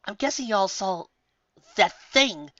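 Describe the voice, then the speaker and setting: A woman's voice: medium pitch, lively intonation, takes it slowly, fairly bright tone, dry recording, lo-fi sound, pitched above the performer's own voice. A woman voicing a plain-spoken, no-nonsense young female pony in a storybook, with a folksy, blunt manner. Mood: blunt, baffled.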